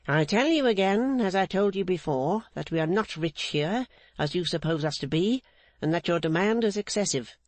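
A man's voice: high voice, expressive, no room sound, pitched above the performer's own voice. A man voicing a stern, resolute older woman who speaks firmly and defiantly. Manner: firm, measured, defiant.

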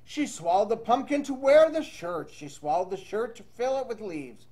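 A man's voice: sits high, expressive, moderate pace, dark tone. A man reading a children's storybook aloud in a playful, sing-song way, with chatty asides to young listeners. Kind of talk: animated read-aloud to children with casual asides.

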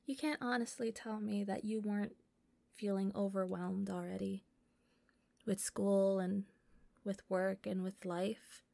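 A woman playing a shy, sweet, obsessively devoted young captor in an intimate audio roleplay, speaking softly and hesitantly, sometimes near a whisper. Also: mid-range voice, fairly even intonation, measured pace, creaky, no room sound. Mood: tender, coaxing. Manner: soft, hesitant, coaxing, breaking into whispers.

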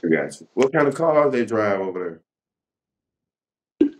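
A man's voice: on the low side, sing-song, a little slow, a little hiss or hum. Slangy man asking casual questions. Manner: slangy, repeats phrases. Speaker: interviewer. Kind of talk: casual chat.

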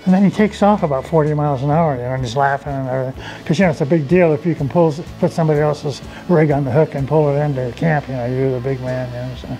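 A man's voice: fairly high pitch, quick pace, hardly any pauses, mellow, soft background music, poor audio. An American man in his seventies reminiscing casually in a folksy storytelling way, often adding "you know". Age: 70s. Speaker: off-road enthusiast recounting memories. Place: outdoors on a driveway by an open garage.

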